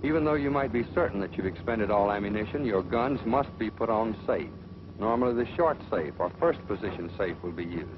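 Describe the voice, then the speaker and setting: An American man narrating a 1940s instructional film in a measured, authoritative, dryly sardonic manner. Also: lowish voice, lively intonation, background noise. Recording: dull old film soundtrack, music behind.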